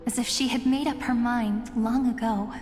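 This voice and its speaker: Game narrator role: reflective female chronicler, actress, fairly high voice, earnest storytelling, music behind. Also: fairly even intonation, moderate pace, in a large hall, close to an everyday voice. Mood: wistful, reflective. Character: reflective female chronicler recalling her ruler's life.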